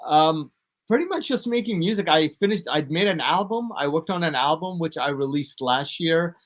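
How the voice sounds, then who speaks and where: Relaxed American man chatting with fillers. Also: a little high, moderate pace. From United States. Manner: relaxed, rambling, lots of fillers and restarts. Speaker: guest DJ and producer. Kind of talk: casual interview chat.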